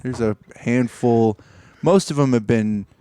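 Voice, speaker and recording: A man's voice: medium pitch, measured pace, dark tone, no room sound, clean studio sound. A man co-hosting a comedy podcast, tossing short, understated asides into casual banter.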